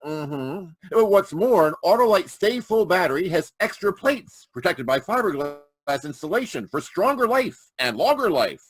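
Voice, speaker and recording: An actor playing a sulky, melodramatic battery pitchman in a 1940s-style radio comic commercial. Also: sing-song, normal speed, few pauses, low-quality recording. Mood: grudging, relenting. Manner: melodramatic, pompous. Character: sulky, theatrical male battery pitchman, wounded artiste.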